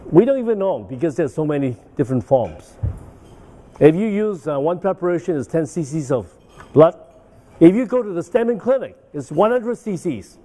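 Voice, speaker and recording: Man in his 60s, medical lecture, rambling, soft mellow tone. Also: on the high side, lively intonation, normal speed. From Hong Kong. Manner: rambling, with frequent "uh" and "okay?" tags. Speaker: guest lecturer. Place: banquet hall.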